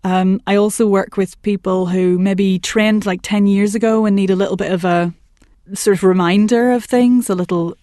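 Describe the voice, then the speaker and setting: A woman working as a voice and accent coach, talking in a chatty, animated way in a podcast interview. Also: mid-range voice, normal speed, no echo, studio quality. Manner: chatty and animated, laughs often, puts on voices for anecdotes. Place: work commute between Manchester and Liverpool.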